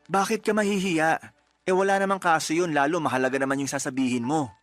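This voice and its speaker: A man acting a patient, earnest young boyfriend who gently reasons in a radio love-story drama. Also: on the high side, steady tone, quick pace, soft background music, natural voice. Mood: placating. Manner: earnest, placating, mildly reproachful.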